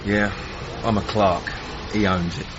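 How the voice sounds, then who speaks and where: An actor playing a plain-spoken, pugnacious boxer in a radio drama, with a blunt, cheeky manner. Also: male voice, lowish voice, level tone, unhurried, lightly acted. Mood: guarded, plain. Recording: muffled lo-fi recording, clearly noisy.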